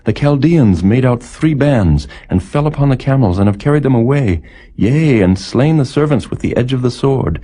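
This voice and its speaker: A man's voice: mid-range voice, lively intonation, normal speed, mellow, voice pushed up, lightly acted. A man voicing an urgent servant messenger who declaims dire news in a dramatised scripture reading. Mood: urgent alarm.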